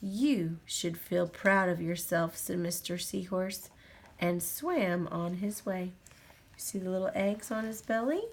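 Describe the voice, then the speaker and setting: A woman reading a children's picture book aloud to a small child at home, gently pointing out things on each page in a sing-song way. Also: on the low side, takes it slowly, slight background noise. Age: adult.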